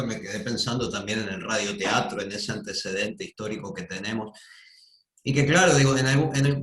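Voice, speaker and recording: A man giving a thoughtful, hesitant comment at an academic panel, often pausing with fillers. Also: on the low side, a bit bright. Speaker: conference panelist. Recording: roomy echo.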